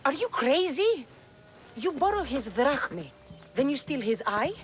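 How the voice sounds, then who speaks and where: A woman's voice: high-pitched, sing-song, unhurried. A woman playing a tough, sardonic young mercenary in a video game, dry, blunt and impatient. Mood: exasperated. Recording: game music under the voice. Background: game music.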